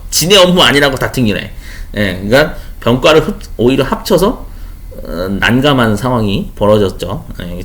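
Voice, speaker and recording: A man's voice: medium pitch, a bit bright. A man teaching exam questions in an informal lecture style, chatty, repeating key terms and explaining with everyday examples. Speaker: online instructor for a certification exam.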